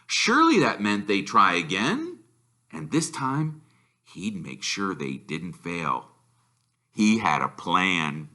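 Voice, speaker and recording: A man reading a children's novel aloud as narrator, expressive and deliberate in his storytelling. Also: lowish voice. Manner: animated, deliberate. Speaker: reader, storyteller.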